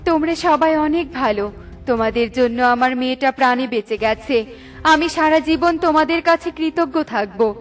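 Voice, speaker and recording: Cartoon fairy mom queen woman doing her, high voice, protective n dignified, music under. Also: normal speed, close to an everyday voice. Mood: grateful. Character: protective fairy queen mother, stern yet grateful. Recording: music behind the voice.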